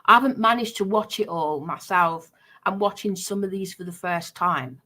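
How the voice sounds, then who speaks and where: British woman streamer, bright forceful voice. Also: medium pitch, moderate pace. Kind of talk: casual unscripted livestream talk.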